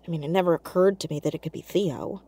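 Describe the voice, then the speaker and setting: An actress playing an earnest, caring teenage girl in an audio drama, speaking in a hesitant, halting, naturalistic way. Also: fairly low pitch, mellow, breathy edge. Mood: puzzled, guarded. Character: earnest, awkward, caring teenage girl amateur sleuth, hiding in fear.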